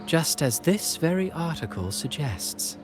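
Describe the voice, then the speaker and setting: A man's voice: on the high side, sing-song, measured pace, loud music behind the voice. Theatrical, wry male playwright in a game. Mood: wry, intrigued. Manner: theatrical, eloquent, relishing ominous words. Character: theatrical male playwright, brooding, wry and fond of dark tales. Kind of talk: dramatic video game voice acting.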